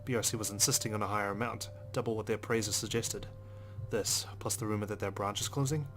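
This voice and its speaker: Fast-talking man with a low, even voice. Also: music in the background. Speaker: let's player. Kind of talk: reading a visual novel aloud with casual commentary.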